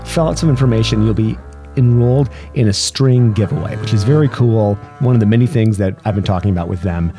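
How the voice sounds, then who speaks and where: A man hosting a podcast interview, talking in a relaxed, friendly way and stumbling over words as he starts his questions. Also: on the low side, brisk, soft background music. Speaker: podcast host. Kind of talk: casual interview. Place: outdoor courtyard.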